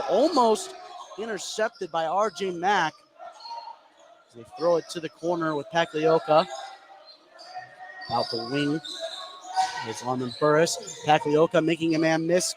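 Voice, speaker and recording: A man doing live play-by-play commentary of a basketball game for radio, courtside in a gym. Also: on the high side. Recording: clearly noisy live gym ambience.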